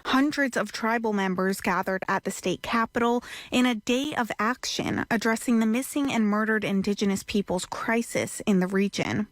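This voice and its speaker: A woman's voice: mid-range voice, normal speed, hardly any pauses, no room sound. An American woman working as a TV news reporter, delivering scripted news narration.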